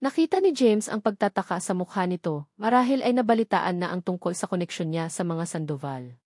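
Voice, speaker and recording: A woman's voice: on the low side, lively intonation, a little fast, darkish tone, dry recording, studio quality. A woman reading scripted, dramatic story narration.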